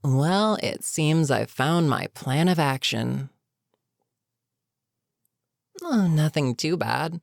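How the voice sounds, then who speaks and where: A voice actor playing an older, experienced villain in an audio roleplay, weary and dryly sarcastic but reluctantly protective, with a wry, deliberate delivery. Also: medium pitch, lively intonation, gravelly, no room sound, studio quality. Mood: calm, smug. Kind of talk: intimate audio roleplay monologue.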